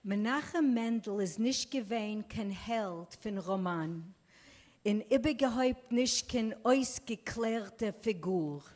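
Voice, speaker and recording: A woman's voice: mid-range voice, slow, a little mellow. A woman performer giving a dramatic literary reading on stage, recorded in a reverberant hall. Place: live event on stage.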